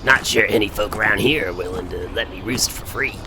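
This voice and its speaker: A man's voice: fairly low pitch, a little fast, background noise, natural voice. A man playing a folksy, brash but easily flustered space trucker with a Southern US accent in an audio drama. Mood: folksy, easygoing. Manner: drawling folksy talk, blustering. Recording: music behind the voice.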